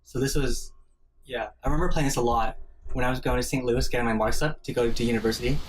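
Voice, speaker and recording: A man's voice: medium pitch, steady tone, fast talker. Young man chatting, distant noisy mic. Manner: opinionated, often tags phrases with "right?". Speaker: game commentator guiding friends. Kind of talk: casual gameplay commentary chat.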